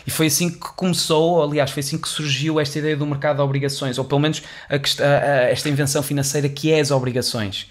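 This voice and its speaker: A man's voice: on the high side, fairly even intonation, fast talker, few pauses. A young man with a European Portuguese accent explains finance history in a casual, chatty way, full of fillers and restarts, into a studio microphone in a small room. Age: young adult. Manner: chatty, many restarts and fillers, gestures while talking. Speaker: host of an educational video series. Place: small studio room with dark wall, indoors. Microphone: studio condenser mic on boom arm, headphones on.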